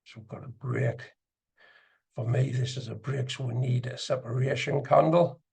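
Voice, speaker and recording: Husky, gravelly-voiced man talking through screen work. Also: medium pitch, normal speed, a little mellow. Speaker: trading instructor and video host. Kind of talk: casual live screen-share commentary on chart trading.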